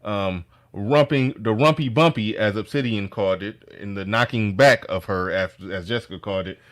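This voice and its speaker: Low-voiced man, rambling, lots of ums. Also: normal speed, fairly bright tone. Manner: rambling, many fillers. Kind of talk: casual livestream chat.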